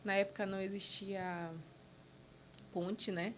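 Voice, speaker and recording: A woman from Brazil talks as a guest on a podcast, mid-pitched and earnest. She speaks in short, halting bursts and often restarts her sentences. A faint rumble sits under the recording. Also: level tone, poor audio. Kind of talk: casual interview conversation.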